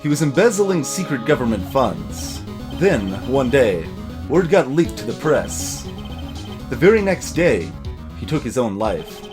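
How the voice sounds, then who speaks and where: A man voicing an earnest, determined young defense lawyer from a video game in a serious, measured way. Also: medium pitch, very animated, over music.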